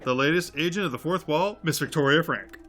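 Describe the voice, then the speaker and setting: A man's voice: on the high side, brisk, fairly bright tone, faint music behind, close to an everyday voice. A man playing a sardonic, world-weary agent who leads a resistance, speaking emphatically in an audio drama. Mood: sarcastic. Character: gruff, sardonic male agent leading a resistance.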